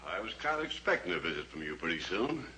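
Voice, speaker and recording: An actor playing a blunt, stubborn rancher and father who talks gruffly and plainly in a 1950s radio drama. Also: low voice, very animated, fast, pushed and bright, exaggerated voice. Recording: old radio recording with faint hiss.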